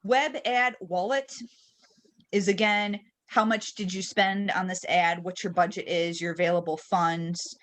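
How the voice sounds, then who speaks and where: A woman with a slightly low voice is leading an online training webinar. She talks conversationally through software settings step by step, often putting questions to her listeners as she explains. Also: steady tone, a little slow. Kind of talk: informal screen-share tutorial.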